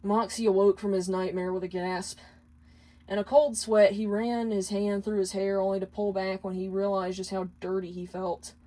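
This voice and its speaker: A woman's voice: mid-range voice, flat delivery, moderate pace, dark tone, rough recording. A woman reading a story aloud as scripted narration, occasionally stumbling and correcting her words. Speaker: fan fiction reader.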